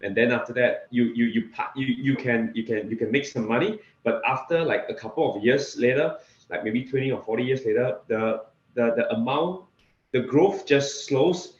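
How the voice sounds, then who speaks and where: A roomy, slightly muffled recording of a man with a Singaporean accent and a medium-pitched voice. He speaks casually as an interview guest, haltingly, doubling back on words as he explains things. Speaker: interview guest, real estate investor. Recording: echoey room, slightly dull sound.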